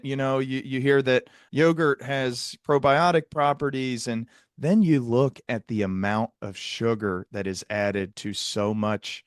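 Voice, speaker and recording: A man's voice: medium pitch, dry recording, studio quality. A man hosting a clinical interview podcast, talking in an earnest, wordy way and often rephrasing mid-thought. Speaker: podcast host and psychiatric clinician interviewing a guest. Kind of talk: conversational interview with long reflective remarks.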